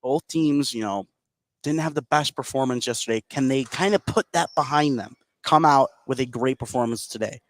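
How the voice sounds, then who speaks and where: A man's voice: mid-range voice, moderate pace. A man giving casual sports analysis as a color commentator on a game broadcast. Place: indoor high school gym. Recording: faint gym noise behind voice.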